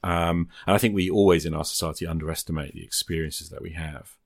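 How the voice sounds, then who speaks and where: A man's voice: very deep voice, fairly even intonation, fast, vocal fry, dry recording, clean studio sound. A man hosting a podcast in casual chat, rambling encouragingly as he thinks aloud. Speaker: podcast host.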